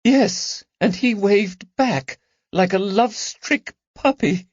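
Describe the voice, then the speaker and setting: A man voicing a distressed aristocratic woman in her forties who is prone to drama, in a high, trembling, theatrical voice. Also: very animated, a little slow, darkish tone, no room sound, exaggerated voice. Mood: bitter, distressed. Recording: slightly dull dry recording.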